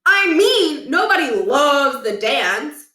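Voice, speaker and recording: Woman putting on an excitable, high-pitched schoolboy. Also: slow, full character voice. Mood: insistent. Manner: gushing, slangy, whiny complaining. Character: excitable, argumentative schoolboy, dim but eager. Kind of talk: children's storybook character voice. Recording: roomy, echoing room.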